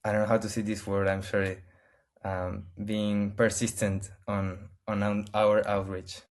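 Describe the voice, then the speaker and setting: Argentine guy, spanish is his first language, low voice, keeps restarting and hunting for english words. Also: little pitch movement. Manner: halting, searching for English words with many restarts. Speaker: interview guest. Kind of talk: casual interview answers.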